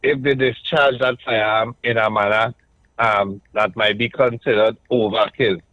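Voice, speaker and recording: A man's voice: on the low side, steady tone, a bit bright, dry recording. A man with a Trinidadian accent calling in to a radio talk show, arguing his point step by step and often checking in with "right?". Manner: measured, argues point by point with frequent "right?". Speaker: radio caller. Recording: muffled dry call-in line.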